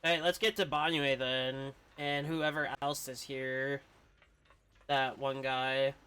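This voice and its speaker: A man's voice: medium pitch, flat delivery, slow, pushed and bright, clear, resonant tone. A man streaming a game, musing aloud in casual live commentary.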